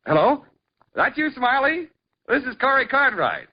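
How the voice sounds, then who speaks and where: An actor playing a breezy, boastful ladies' man in a 1940s radio comedy, talking fast and showing off. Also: very high-pitched, big pitch swings, slightly rough, heavily acted. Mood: cheerful. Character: smooth adult male ladies' man, boastful. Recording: dull old radio broadcast with hiss.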